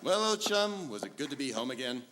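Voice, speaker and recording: A man's voice: mid-range voice, very animated, a little fast, noisy background, lightly acted. A man playing a hearty old chum in a pulp sci-fi radio serial. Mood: jovial. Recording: live hall, loud music behind.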